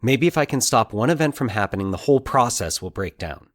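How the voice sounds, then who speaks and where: A man voicing an anxious, excitable male character thinking aloud in halting, agitated bursts in an audio drama. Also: mid-range voice, no room sound, studio quality, lightly acted. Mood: urgent, reasoning. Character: Mike, anxious excitable man puzzling out eerie coincidences. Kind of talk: dramatic audio fiction monologue.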